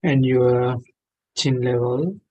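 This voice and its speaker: Male meditation teacher, non-native English, very slow delivery, mid-pitched, gentle phrases with long pauses. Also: highs cut off. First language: not English. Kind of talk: guided meditation instruction.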